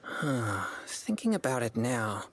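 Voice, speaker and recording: A man playing a calm, polite, reserved teenage boy in an anime-style video game. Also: medium pitch, slow, noisy background. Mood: calm, earnest.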